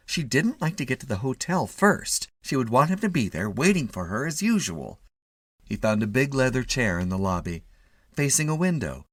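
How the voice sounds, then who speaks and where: A man giving an expressive, theatrical reading of a literary short story as its narrator. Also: on the low side, dry recording, studio quality.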